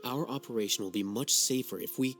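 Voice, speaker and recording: An actor playing a cool, haughty young scholar in a video game dub, measured, formal and dryly sardonic. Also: male voice, mid-range voice, a bit bright, soft background music, lightly acted. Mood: calm, businesslike. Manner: measured, aloof, dry, formal.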